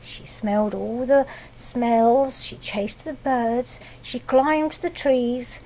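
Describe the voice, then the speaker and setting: A woman reading a picture book aloud to young children, pausing to explain things simply and ask them questions. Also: on the high side, mellow, slight background noise, poor audio. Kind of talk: read-aloud picture book storytelling with asides.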